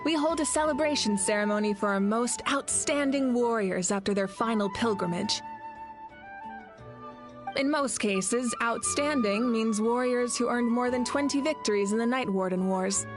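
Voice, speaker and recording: A woman voicing a confident, warm female fire archon and war leader in a video game, assured and stately. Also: fairly high pitch, moderate pace, music in the background, lightly acted.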